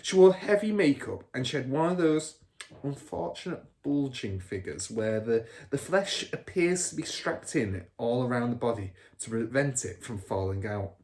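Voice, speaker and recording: A man's voice: medium pitch, animated, normal speed, darkish tone. A man reading a children's storybook aloud expressively, like a primary school teacher.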